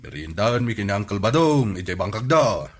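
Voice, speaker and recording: A man's voice: lowish voice, sing-song, brisk. A man with an Ilocano accent playing a cheerful young villager in a comedy radio drama, who laughs after nearly every remark. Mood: giggly, cheerful.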